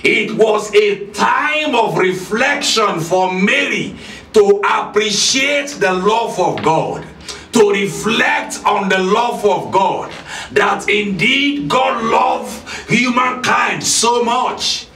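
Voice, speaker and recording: Emphatic, slow, high-voiced male preacher. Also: lively intonation, creaky. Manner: emphatic preaching, repeating key lines, often shouting. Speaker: pastor. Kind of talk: sermon. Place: church hall with red curtain. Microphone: handheld mic at a lectern. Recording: echoey hall, filmed from a distance.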